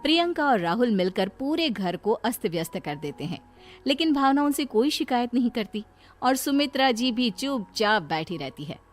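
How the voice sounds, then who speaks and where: A woman's voice: fairly high pitch, very animated, moderate pace. A woman narrating a scripted moral story as the storyteller. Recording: music bed behind voice.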